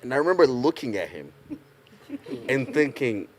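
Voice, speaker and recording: A young man from Ghana, a panel guest telling animated stories in a casual group chat, often laughing as he talks. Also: medium pitch, normal speed, rough recording. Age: young adult. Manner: animated storyteller, laughs through anecdotes.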